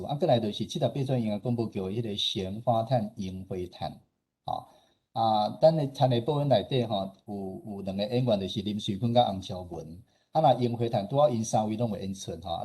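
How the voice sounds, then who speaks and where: A man with a Taiwanese accent and husky voice, giving an informal presentation as a radio host. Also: on the low side, warm tone. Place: online meeting presentation.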